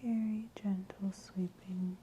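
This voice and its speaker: A woman speaks in breathy, soft ASMR, mostly in a whisper, with a very dark, velvety tone. She takes her time, gentle and soothing, with long slow phrasing. A faint noise sits behind her voice. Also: medium pitch, little pitch movement, dull audio. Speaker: ASMR host performing a scalp exam roleplay.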